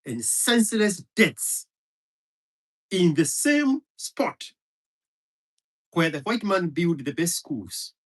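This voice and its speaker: Husky, heated man ranting. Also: fairly high pitch, poor audio. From Kenya. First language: Kikuyu. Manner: heated, profane, hammering repetition. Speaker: commentator. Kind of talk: impassioned monologue.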